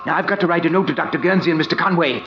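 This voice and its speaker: An actor playing an obsessed professor who gloats over beating the insect world, in 1940s radio acting style. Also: male voice, on the high side, very fast, noisy background, natural voice. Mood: triumphant. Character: obsessed middle-aged male professor, bug hater. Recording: dull lo-fi old radio recording.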